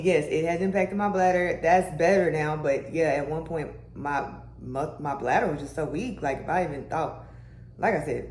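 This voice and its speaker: Young woman vlogger, low deep voice, conversational and chatty, recorded in an echoey room. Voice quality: deeper voice. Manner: chatty, conversational, with "y'all" and "baby" asides. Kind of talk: casual health vlog. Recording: echoey room with faint background hum.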